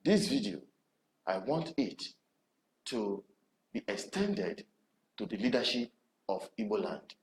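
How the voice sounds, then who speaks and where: A man with a Nigerian accent hosting an online TV programme, addressing viewers in deliberate, emphatic phrases with dramatic pauses. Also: fairly low pitch, sing-song. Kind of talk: presenter commentary to camera. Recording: roomy echo with background noise.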